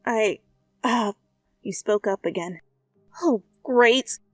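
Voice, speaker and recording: A woman's voice: on the high side, slow, dry recording, clean studio sound. A young woman reading fanfiction as ASMR, casual, with laughing, swearing asides. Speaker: fanfic reader. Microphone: close studio mic. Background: soft music.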